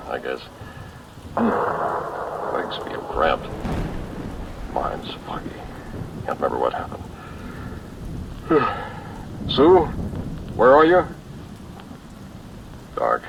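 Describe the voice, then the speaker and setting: An actor playing a bewildered man buried alive, muttering haltingly to himself in an old-time radio thriller. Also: a bass voice, huge pitch swings, gravelly, exaggerated voice. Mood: groggy. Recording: distant, noisy old radio recording, rain behind.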